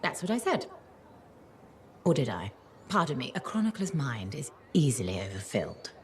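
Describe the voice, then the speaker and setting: An actress playing a scatterbrained, affectionately bossy chronicler in a video game, with genteel, wordy, theatrical phrasing. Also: female voice, rumbling low, very animated, normal speed, slight background noise, full character voice. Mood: absent-minded. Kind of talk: video game character dialogue.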